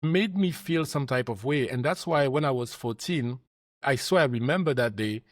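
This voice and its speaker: A man from Cameroon hosting an interview, earnest, his voice sinking low and soft at the ends of phrases. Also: expressive, moderate pace, dry recording, studio quality. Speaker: podcast host and life coach. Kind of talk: interview conversation.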